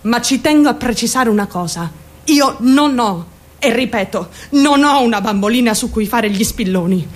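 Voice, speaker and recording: A woman's voice: on the high side, lively intonation, normal speed. An actress playing a troubled, defiant teenage girl who confides her story straight to the listener in a theatrical radio monologue. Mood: indignant, accusing. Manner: confiding, addressing listeners directly, mimicking other voices.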